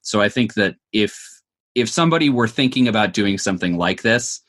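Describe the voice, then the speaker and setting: A man with a lowish voice answers interview questions in a conversational way. He explains his points thoughtfully, adding asides as he works through them. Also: expressive, fairly quick, fairly bright tone. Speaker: interview guest, audio drama maker.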